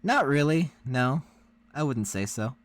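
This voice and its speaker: A co-host talks casually through a reaction session. The voice sits at a middle pitch, with an easygoing, reassuring way of talking. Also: expressive, a little slow.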